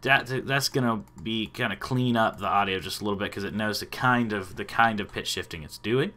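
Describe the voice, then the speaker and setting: A man in his thirties hosting a casual software tutorial. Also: on the low side, fairly quick. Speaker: tutorial host.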